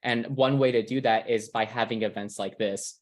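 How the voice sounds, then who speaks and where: A young man from California sums up a presentation at a middle pitch. He is earnest and reflective and restarts his sentences now and then. The sound comes over a video call. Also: steady tone, moderate pace.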